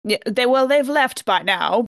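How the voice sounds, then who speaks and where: A woman's voice: on the high side, expressive, measured pace, gravelly, no room sound, clean studio sound. A woman playing in a tabletop game podcast, improvising her actions in casual chat.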